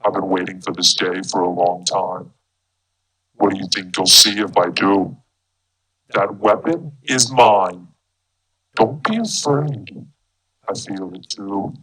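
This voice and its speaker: Masked sci-fi film villain: deep, electronically altered, deliberate and slow, brooding menace. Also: male voice, fairly bright tone, heavily acted, rough recording. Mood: brooding intensity. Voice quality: husky, electronically processed. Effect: voice changer, deepened mask filter through a small speaker.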